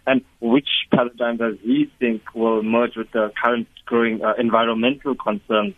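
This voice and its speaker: A man's voice: medium pitch, flat delivery, normal speed, no room sound. Hesitant man on a tinny phone line. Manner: hesitant, many uh fillers. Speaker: caller.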